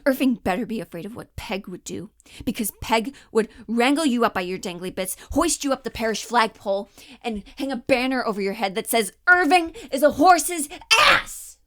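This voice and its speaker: A woman's voice: fairly high pitch, animated, normal speed, a bit bright. A woman performing a comedic stage monologue as a fed-up, guilt-tripping woman scolding her boyfriend, given to outbursts. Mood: indignant, explosive.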